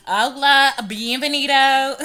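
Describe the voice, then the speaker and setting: A woman's voice: sits high, fairly even intonation, slow pace, loud music behind the voice. A young woman hosting her own podcast, chatting loosely and profanely and laughing often. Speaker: podcast host. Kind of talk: casual solo podcast monologue.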